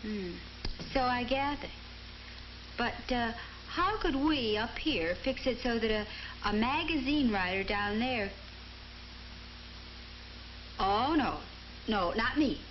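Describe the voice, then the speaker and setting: Skeptical young woman, prim, coy, higher pitch, noisy dull 1950s film soundtrack. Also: expressive, takes it slowly, pauses often. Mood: reluctant. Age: young adult. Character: prim, skeptical young woman from the world above, posing as a writer. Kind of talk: 1950s training film acting.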